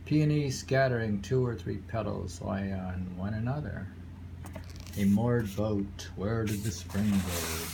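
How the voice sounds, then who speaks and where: Slow, low-voiced man reading poetry. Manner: unhurried, musing, pausing between phrases. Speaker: poetry reader and commentator. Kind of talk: reading haiku aloud with casual commentary. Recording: clearly noisy home recording with ambience.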